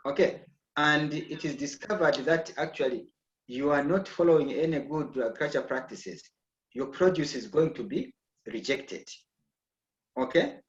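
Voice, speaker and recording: A man from Zambia lecturing an online agriculture class, often checking in with "okay?". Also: on the high side. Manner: repeats "okay?" to check understanding. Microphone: video call. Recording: echoey, dull video call audio.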